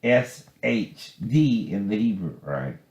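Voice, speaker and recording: Slow, deep-voiced man in an echoey room. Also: very animated, darkish tone. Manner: bitter, profane, confrontational. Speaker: commentator. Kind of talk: rambling informal commentary. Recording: echoey, roomy sound.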